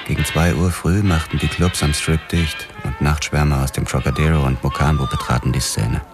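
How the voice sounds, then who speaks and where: An actor playing a brooding, world-weary detective who narrates a radio drama in a measured, sombre manner. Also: male voice, extremely low pitch, wildly swooping pitch, over music, heavily acted. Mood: reflective. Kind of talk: scripted first-person radio drama narration.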